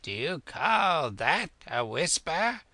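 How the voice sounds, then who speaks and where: A man voicing a fussy, excitable storybook king who speaks in slow, emphatic phrases. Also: mid-range voice, dry recording, heavily acted. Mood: indignant.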